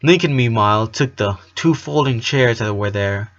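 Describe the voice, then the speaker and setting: A man reads fiction aloud as an amateur audiobook narrator. His pitch sits in the middle of the male range, and his reading is expressive with the odd stumble. The sound is a little dull and lo-fi. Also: normal speed. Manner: expressive, with stumbles and self-corrections. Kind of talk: storybook narration with acted dialogue.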